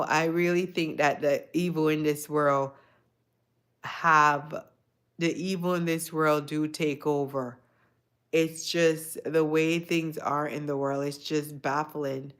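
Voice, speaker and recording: A woman's voice: deep voice, level tone, slow, a bit bright, clean tone. A woman of Jamaican descent hosting a casual livestream, talking reflectively to her viewers and greeting them by name. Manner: reflective, talks to chat viewers by name, repeats phrases. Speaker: livestream host. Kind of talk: casual live chat with viewers.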